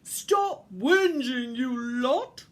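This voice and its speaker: Casting: big-voiced bossy old Father Christmas acted by a woman, very slow, swooping storybook calls. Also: big pitch swings, husky, full character voice. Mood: booming, bossy. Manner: booming, drawn-out commanding calls.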